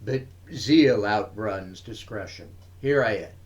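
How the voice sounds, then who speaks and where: A man giving a dramatic reading of verse, in measured, deliberate phrases broken by pauses. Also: on the low side. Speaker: reader of poetry.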